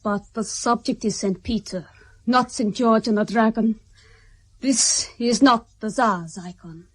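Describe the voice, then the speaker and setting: Cool, husky Soviet expert. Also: female voice, fairly high pitch, measured pace. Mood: precise. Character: cool, astute female Soviet assistant and art expert. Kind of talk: radio drama acting.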